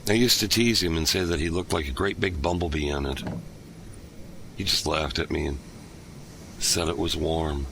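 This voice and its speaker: An actor playing a grieving father haunted by his lost son in a radio drama, speaking gruffly with probing questions. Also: very deep voice, moderate pace, long pauses, fairly bright tone, a little hiss or hum, exaggerated voice. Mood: reflective. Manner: gruff, questioning, breaks into anguished outbursts. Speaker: narrator and lead character.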